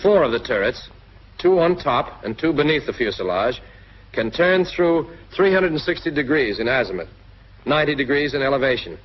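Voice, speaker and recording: American male narrator, 1940s military training film, mid-pitched, instructive, direct address; dull, hissy vintage soundtrack. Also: sing-song, moderate pace.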